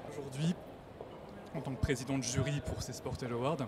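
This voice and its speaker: Fast-talking male interviewer amid street noise. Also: medium pitch, steady tone. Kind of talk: conversational interview questions.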